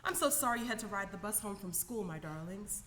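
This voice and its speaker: A woman's voice: mid-range voice, lively intonation, a little fast, a bit bright, close to an everyday voice. A woman playing a doting, apologetic working mother in a radio play, effusive and affectionate. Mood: gushing, apologetic. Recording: echoing hall, faint noise.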